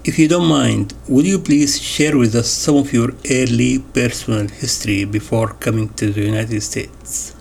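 A man reading an interview transcript aloud in a measured way, announcing each question and answer. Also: mid-range voice, steady tone, gravelly, darkish tone, slight background noise. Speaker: voice-over reader of an interview transcript.

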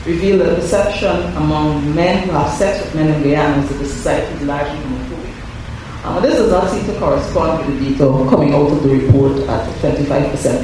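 Man, hoarse and scratchy, formal measured speech, slightly high voice, echoey hall, faint background noise. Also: distant mic. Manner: measured, formal, with small self-corrections. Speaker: invited speaker at a report launch.

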